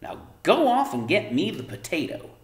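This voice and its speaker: A man's voice: high voice, wildly swooping pitch, moderate pace, reverberant, voice pushed up, heavily acted. A man voicing a scolding, fussy, hot-tempered tiny mother from a storybook, with a nagging, exasperated delivery and rustic grammar.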